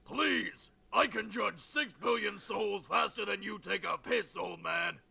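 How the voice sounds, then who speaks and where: Gravelly, pompous cartoon villain. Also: male voice, sits high, a little slow, sharp tone, exaggerated voice. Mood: pompous, dismissive. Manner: pompous, blunt, mocking. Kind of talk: cartoon parody dub.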